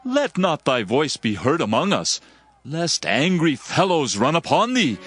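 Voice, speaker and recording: A man acting a menacing warrior, raised pitch, threatening, declaiming, dramatized scripture, faint music behind. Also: huge pitch swings, unhurried, a bit bright, husky, no echo, exaggerated voice.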